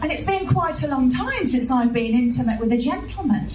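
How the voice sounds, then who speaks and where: A middle-aged woman performing stand-up comedy, telling confessional stories in an animated way. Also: high voice, a little fast. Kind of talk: stand-up routine. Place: live comedy venue. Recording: echoey, noisy live room, distant mic.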